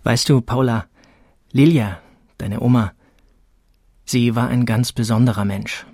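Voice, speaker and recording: A man's voice: medium pitch, steady tone, a little slow, gravelly, a little mellow, breathy edge, no room sound, studio quality. An actor playing an earnest father who tells his daughter family memories in a reflective manner, in a radio drama. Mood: earnest, reminiscing. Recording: music behind the voice.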